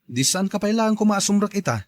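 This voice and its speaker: A man's voice: sits high, expressive, fast talker. A man with a Filipino accent playing an earnest young husband and father in a radio drama. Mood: affectionate.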